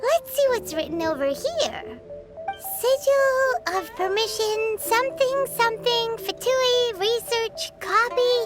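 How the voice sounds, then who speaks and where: A woman voicing a tiny, excitable, greedy and chatty girl companion in a video game, with a squeaky childlike voice and an exclaiming manner. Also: takes it slowly, over loud music, exaggerated voice. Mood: curious. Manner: speaks of self in third person, exclaiming. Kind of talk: video game cutscene voice acting.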